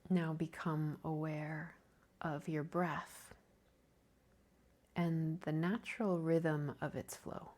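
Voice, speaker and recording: A woman teaching yoga nidra, leading a guided meditation in a gentle, calming, softly breathy way. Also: deep voice, slow, long pauses. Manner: calming, gentle, soft-spoken with breathy softness.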